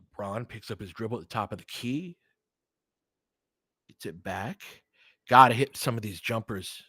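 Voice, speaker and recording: A man's voice: on the low side, normal speed, sharp tone, breathy edge, no room sound, clean studio sound. A man doing casual live basketball play-by-play in short, clipped phrases, with informal asides as a fan would make. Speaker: play-by-play commentator and streamer.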